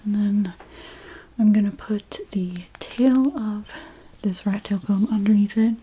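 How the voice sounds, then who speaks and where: Female ASMR hairstylist role: heavily breathy whisper, slow, mellow, gentle personal-attention delivery. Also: mid-range voice, fairly even intonation, poor audio. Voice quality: breathy, mostly unvoiced whisper. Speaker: ASMR creator playing a hairstylist.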